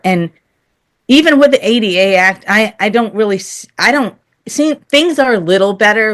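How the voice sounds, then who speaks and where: Woman guest chatting in an interview, earnest and candid, mid-pitched voice. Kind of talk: conversational interview answers.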